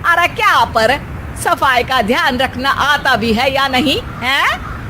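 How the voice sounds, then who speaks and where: A woman plays a nagging Indian mother-in-law from a cartoon tale. She speaks slowly in a highish voice, giving orders and finding fault, snapping questions at the end. The track has clear urban noise behind it. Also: lively intonation, full character voice. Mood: irritated. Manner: commanding, scolding, ends questions with a sharp "hain?". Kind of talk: acted Hindi cartoon moral story.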